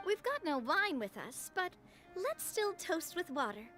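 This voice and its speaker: A woman's voice: extremely high pitch, wildly swooping pitch, moderate pace, faint music behind, heavily acted. An actress playing a confident, upbeat young woman who leads her own group and speaks encouragingly. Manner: bright, encouraging. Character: confident young female boss, upbeat leader.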